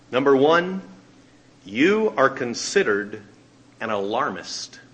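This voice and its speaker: A man's voice: medium pitch, fairly bright tone, a little hiss or hum. A man, a magazine editor and radio minister, giving an emphatic dramatic reading of a letter.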